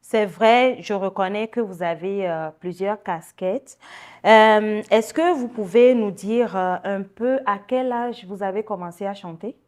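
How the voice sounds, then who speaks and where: A woman in a mid-range voice hosts a casual interview. She asks questions in a friendly, chatty way. She often pauses with fillers as she puts her questions together. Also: mid-range voice, a little mellow. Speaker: show host.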